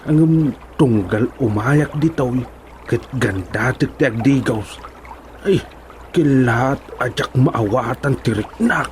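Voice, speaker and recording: A man's voice: mid-range voice, expressive, slow pace, a little mellow, a little hiss or hum. A man with an Ilocano accent playing a hesitant, fretful cousin in a radio comedy drama. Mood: uneasy.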